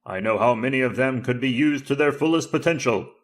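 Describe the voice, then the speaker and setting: A man voicing an ancient enchanted tiger saber in an audio drama, formal, flattering and theatrical. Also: mid-range voice, a little fast, voice pushed up, natural voice. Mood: generous. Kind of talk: audio drama character acting.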